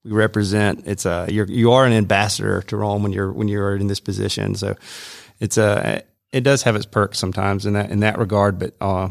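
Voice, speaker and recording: A man's voice: lowish voice, little pitch movement, brisk, gravelly, no room sound, studio quality. A man hosting a casual chat, a festival director who shares stories and asks easygoing questions. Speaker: host, film festival executive director.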